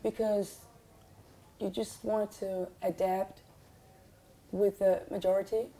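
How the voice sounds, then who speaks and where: A young woman from Switzerland, chatting casually as a musician guest in an unhurried, hesitant way with many "um"s. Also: mid-range voice, flat delivery, warm tone. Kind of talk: casual conversation.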